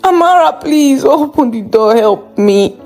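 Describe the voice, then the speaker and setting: A woman's voice: high voice, lively intonation, unhurried, a little mellow, pitched above the performer's own voice. A woman voicing a frightened, weakened young village girl in a storybook folktale, pleading in despair. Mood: pleading, anguished.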